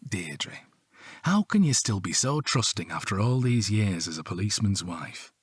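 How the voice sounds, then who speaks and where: A wry British police detective in an audio drama, teasing like a long-married husband. His voice is husky and moves expressively in pitch. It sounds close and dry. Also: very animated, normal speed, no room sound. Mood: gently chiding. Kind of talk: naturalistic audio drama acting.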